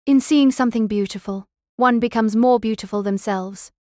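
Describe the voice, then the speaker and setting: A woman's voice: on the high side, normal speed, darkish tone, no echo. Dry, close-miked woman narrator. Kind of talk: scripted novel narration.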